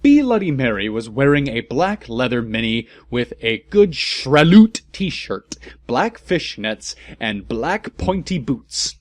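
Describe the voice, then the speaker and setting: A man's voice: mid-range voice, no room sound. A man voicing an angsty, self-absorbed teenage goth girl in a reading of amateur fan fiction.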